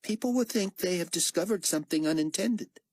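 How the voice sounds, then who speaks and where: Man voicing young male tech operative, high voice, husky, dry audiobook sound. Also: brisk, darkish tone, dry recording. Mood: wry, brisk.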